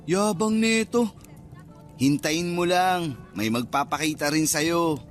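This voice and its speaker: A man's voice: on the high side, slow, faint music behind. A man playing a superstitious, easily spooked young man chatting in slang in a Filipino radio drama. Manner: casual slangy banter, jittery. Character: superstitious, easily spooked young male cousin, construction worker.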